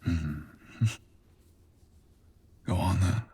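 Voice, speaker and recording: A man playing a brooding, possessive, dangerous creature boyfriend in an intimate ASMR roleplay, husky and breathy, murmuring teasing commands. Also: deep voice, mellow, heavily acted. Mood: playful, coaxing. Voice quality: husky, breathy, often dropping to a whisper. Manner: slow, teasing, murmured commands with deliberate pauses.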